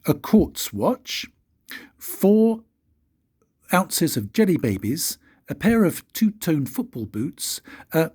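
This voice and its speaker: A man's voice: fairly high pitch, very animated, takes it slowly, a little mellow, breathy edge, pitched above the performer's own voice, heavily acted. An older man voicing an eager, greedy little chatterbox mouse in a storybook, reeling things off insistently. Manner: breathless, insistent listing. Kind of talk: storybook character voice.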